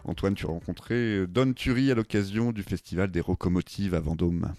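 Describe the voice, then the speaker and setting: A man presenting a radio show, reading a smooth scripted link into an interview. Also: deep voice, fairly quick, dark tone. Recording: music bed under the voice.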